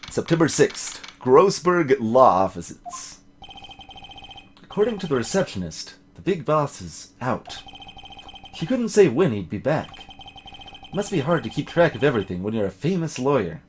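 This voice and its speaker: A man's voice: mid-range voice, sing-song, a little slow, a little hiss or hum. A man voicing a young male defense lawyer's dry, observational inner narration in a video game. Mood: wry musing. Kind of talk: voiced visual novel game reading.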